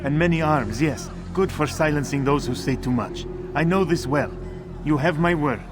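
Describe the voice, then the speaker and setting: A man's voice: fairly high pitch, normal speed, close to an everyday voice. A man as a wry messenger over music. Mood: wry, obliging. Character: wry, compliant male underworld messenger.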